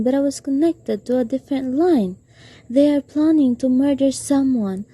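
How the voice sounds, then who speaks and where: Young woman acting a muffled, husky, anxious wife. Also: high voice, warm tone, no room sound. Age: teenager. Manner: insistent, imperious. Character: anxious, demanding, sickly married woman. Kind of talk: amateur radio drama acting.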